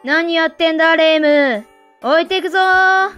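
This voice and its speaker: A woman's voice: extremely high pitch, normal speed, a bit bright, highs cut off, soft background music. A woman playing a naive, eager young witch girl, earnest and awkwardly half polite, half boyish, in a comic anime voice drama. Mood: perky.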